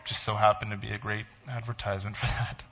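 A man, a pastor, reading a blog aloud to his church in a halting, emotional voice. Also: lowish voice, level tone, pushed and bright, dull audio. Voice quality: tearful, halting.

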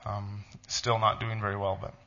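A man, a pastor, reading a blog aloud to his church in a halting, emotional voice. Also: on the low side, monotone, bright, forceful tone, muffled recording. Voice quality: tearful, halting.

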